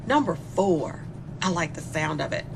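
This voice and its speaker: Taunting sadistic female killer, swooping and creaky. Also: deep voice, expressive, moderate pace. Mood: gleeful, cruel. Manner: mocking, flirtatious, toying with the captive. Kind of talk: dark comic horror audio drama monologue. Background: music.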